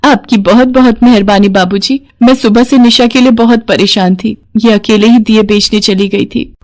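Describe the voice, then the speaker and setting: A gentle, devout mother of a poor storybook family, played by a woman. Her voice sits fairly high and she speaks patiently, with a pleading note. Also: fairly even intonation, normal speed, natural voice. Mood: grateful.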